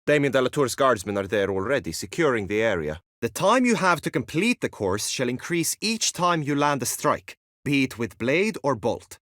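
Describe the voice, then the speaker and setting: An actor playing an earnest, lovesick, chivalrous knight in a video game, with formal, archaic courtly phrasing and an anxious streak. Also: male voice, mid-range voice, sing-song, moderate pace, hardly any pauses, fairly bright tone, no echo, studio quality. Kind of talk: video game character acting.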